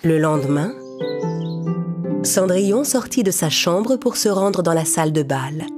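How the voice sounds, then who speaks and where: A woman's voice: lowish voice, animated, a little slow, music in the background. A woman reading scripted narration for a children's fairy-tale cartoon.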